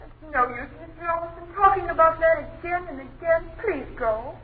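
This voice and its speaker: A woman's voice: extremely high pitch, normal speed. An actress playing a polite, anxious young woman pleading in a 1930s radio horror drama. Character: frightened, polite young daughter of the house. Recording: tinny, hissy 1930s radio recording.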